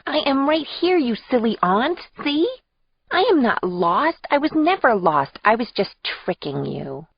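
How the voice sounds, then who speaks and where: A woman's voice: on the high side, big pitch swings, unhurried, gravelly, slightly rough, dry recording, highs cut off, pitched above the performer's own voice, full character voice. A woman voicing a gleeful, cheeky little toddler girl in an audiobook, in a sing-song manner.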